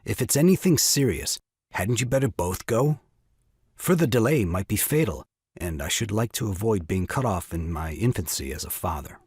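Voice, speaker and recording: A man's voice: on the low side, expressive, moderate pace, a little mellow, slightly rough, no echo, clean studio sound. An actor playing a self-pitying, exasperated new father in an audio farce, with grandiloquent, melodramatic delivery. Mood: dry impatience. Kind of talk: theatrical audio drama farce acting.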